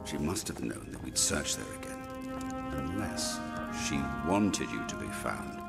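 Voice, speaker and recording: Very deep, gravelly, stern official. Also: male voice, sing-song, a little slow, heavily acted. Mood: brooding. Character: stern, shrewd male official in a fantasy city. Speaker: voice actor. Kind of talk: dramatic video game voice acting. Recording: music behind the voice.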